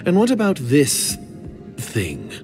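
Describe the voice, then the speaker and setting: An actor playing a stern, distrustful foreign agent in a video game, formal and probing. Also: male voice, fairly high pitch, huge pitch swings, slow, music in the background, exaggerated voice. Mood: suspicious. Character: suspicious, stern male foreign agent, wary of outsiders. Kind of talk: scripted video game character acting.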